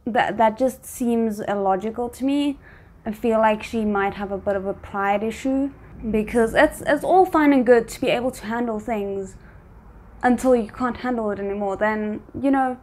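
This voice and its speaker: A woman's voice: on the high side, moderate pace, a little mellow. A woman giving casual reaction commentary as a video host, talking back to the characters she is watching. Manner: talks back to the characters on screen.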